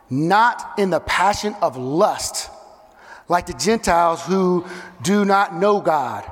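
A man in his thirties from South Carolina, slightly hoarse, giving a casual, energetic chapel talk to students as a guest coach. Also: high-pitched, long reverb tail. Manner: energetic, conversational, says um and uh. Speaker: guest chapel speaker and youth football coach. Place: campus chapel.

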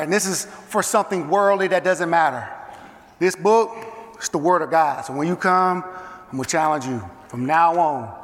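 A man in his thirties from South Carolina, slightly hoarse, giving a casual, energetic chapel talk to students as a guest coach. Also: high-pitched, long reverb tail. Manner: energetic, conversational, says um and uh. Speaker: guest chapel speaker and youth football coach. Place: campus chapel.

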